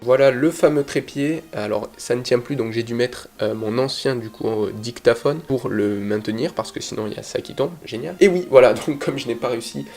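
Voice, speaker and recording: Young man, stuffy cold, fast rambling chat, echoey bedroom, music playing behind. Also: medium pitch, creaky, a little mellow. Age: young adult. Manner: rambling, filler-heavy, self-deprecating. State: congested with a cold. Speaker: YouTuber. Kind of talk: casual vlog chat. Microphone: cheap desk mic. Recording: echoey room with background music.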